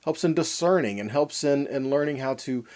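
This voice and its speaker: A man's voice: mid-range voice, normal speed, a little mellow. A man giving a Bible teaching, explaining in a didactic way, posing questions and answering them himself. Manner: didactic, asks and answers own questions, checks in with "okay". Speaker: teacher of a weekly Torah portion.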